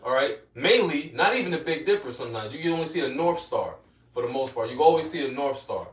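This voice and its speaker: A man's voice: medium pitch, expressive, quick pace. A man teaching scripture interactively as a preacher, emphatic, repeating phrases and prompting those around him. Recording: dull, echoey, distant from the mic.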